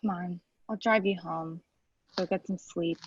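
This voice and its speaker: A woman playing a hurt, blunt lawyer speaking to an ex in a naturalistic screenplay table read, halting and emotional. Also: on the low side, steady tone, slightly rough, dry recording, lo-fi sound. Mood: firm, weary. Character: hurt, blunt ex-partner, a hard-working lawyer.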